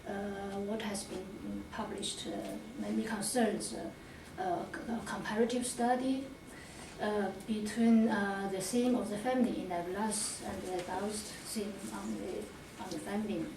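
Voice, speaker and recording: A woman academic, introducing herself haltingly at an informal seminar. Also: mid-range voice, fairly even intonation. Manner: halting, hesitant delivery. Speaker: academic researcher and teacher. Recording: echoey room, distant mic, loud noise.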